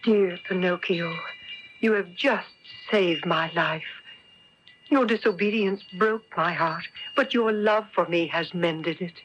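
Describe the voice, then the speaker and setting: A woman playing a gentle, forgiving fairy in a radio play, speaking tenderly and solemnly. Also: lowish voice, slow. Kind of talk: 1970s radio adventure theater acting. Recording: dull, noisy 1970s radio recording.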